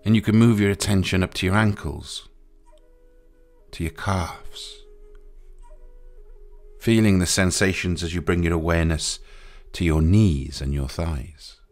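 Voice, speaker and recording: A man guiding a meditation in a soothing, unhurried way, pausing between short phrases. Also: deep voice, steady tone. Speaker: meditation guide. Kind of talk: guided meditation. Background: music.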